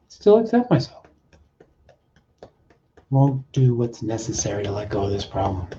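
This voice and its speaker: A man working as a coach, leading a guided self-help exercise in short, deliberate, repeated phrases with pauses between them. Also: medium pitch, mellow. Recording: dull, muffled lo-fi audio.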